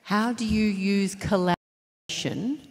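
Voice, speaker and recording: A woman's voice: medium pitch, a little slow. A woman in the audience asking a probing question of the panel and insisting on its point. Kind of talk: question from the floor. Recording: reverberant hall.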